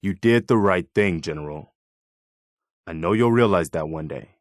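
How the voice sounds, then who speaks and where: A man voicing an earnest, steadfast militia officer in a video game, speaking in a sincere, measured, reassuring way. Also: low voice, dry recording, studio quality, close to an everyday voice. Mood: sober, reflective. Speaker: companion character in a video game.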